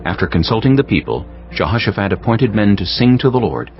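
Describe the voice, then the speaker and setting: A man reading scripture as an audiobook narrator in a solemn, measured, scripted style. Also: lowish voice, sing-song, dull audio, faint music behind.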